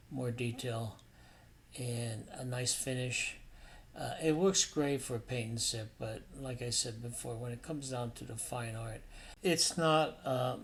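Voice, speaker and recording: A man's voice: mid-range voice, slight background noise. A man narrating a painting demonstration as an instructor, in an informal, explanatory and somewhat hesitant way.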